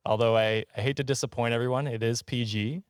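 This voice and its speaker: A man hosting a casual comedy podcast in a jokey, slightly halting way. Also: medium pitch, fairly even intonation, clean tone, no room sound, studio quality. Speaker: podcast host.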